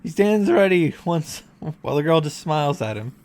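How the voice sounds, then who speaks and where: Lively sarcastic man reacting to a game. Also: on the high side, moderate pace. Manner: sarcastic asides, casual swearing. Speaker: visual novel reactor and narrator. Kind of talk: casual reaction commentary with read-aloud summary.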